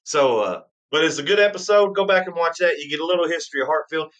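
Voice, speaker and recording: A man's voice: a little high, fast talker, fairly bright tone, muffled recording. A man hosting a bourbon tasting live stream, chatting eagerly and rambling through his stories. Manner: enthusiastic, rambling storyteller. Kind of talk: casual live-stream banter.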